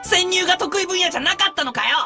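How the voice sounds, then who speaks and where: An actor playing a brash, boyish young dog-like hunter in a Japanese radio drama, with casual, rough speech. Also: very high-pitched, fast, bright, forceful tone, soft background music, heavily acted. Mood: cheeky.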